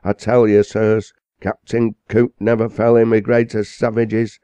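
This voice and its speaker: A man voicing an excitable, indignant parson who denounces with vehemence. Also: lowish voice, a little slow, clean tone, no room sound, muffled recording, voice pushed up, lightly acted. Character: excitable indignant male parson, blunt and fiery.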